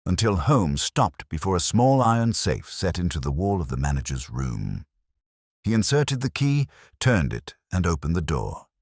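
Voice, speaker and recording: A man narrates a detective story with solemn, measured care. His voice is extremely deep yet moves with a lively range of pitch, and the recording is close and dry. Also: no room sound. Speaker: audiobook narrator.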